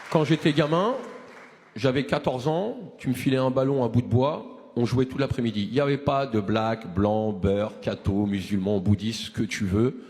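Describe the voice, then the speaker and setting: A man's voice: mid-range voice, normal speed, a little mellow, big-room sound. A man in his forties giving an impassioned, rousing address to a movement, full of restarts and rhetorical questions. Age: 40s. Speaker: activist speaker.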